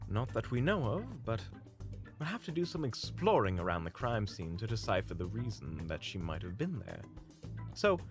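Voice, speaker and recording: A man playing a courteous, calm leader of monster hunters in an audio roleplay, speaking politely and precisely with a caring manner. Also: fairly low pitch, normal speed, music in the background, pitched above the performer's own voice. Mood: businesslike, polite. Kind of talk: audio roleplay acting addressed to the listener.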